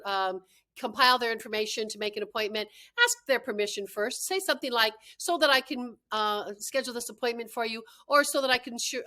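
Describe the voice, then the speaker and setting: A woman speaks as a trainer sharing practical advice. Her voice sits on the high side and has a very bright, forceful edge. She is emphatic, underlining key points by restating them. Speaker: dental practice consultant and trainer. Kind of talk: instructional tips talk to camera.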